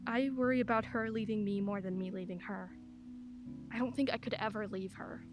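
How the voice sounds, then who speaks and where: A woman's voice: mid-range voice, steady tone, a little fast, over music, lightly acted. An actress playing a wry, candid single mother in her forties in a radio play, a lawyer who laughs easily while talking. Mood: conversational, wry.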